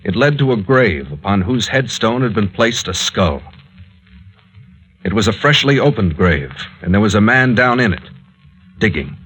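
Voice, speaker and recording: An actor with an American accent playing a fearless, commanding adventurer captain in a terse, urgent 1940s radio drama style. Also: male voice, on the low side, very animated, moderate pace, a bit bright, breathy edge, soft background music, full character voice, rough recording. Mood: grave recounting. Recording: vintage 1940s radio recording.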